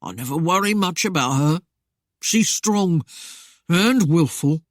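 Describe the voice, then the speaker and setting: Male reader doing a whiny, blustering small-town mayor, pitched up high and pushed loud. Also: animated, measured pace, dry recording, clean studio sound. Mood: wheedling. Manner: whining, wheedling, coarse dialect speech. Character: blustering, greedy, cowardly middle-aged male mayor. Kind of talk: audiobook character voice.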